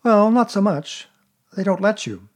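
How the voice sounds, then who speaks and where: A man's voice: high voice, expressive, measured pace, pitched above the performer's own voice. Earnest little boy, as a man puts him on.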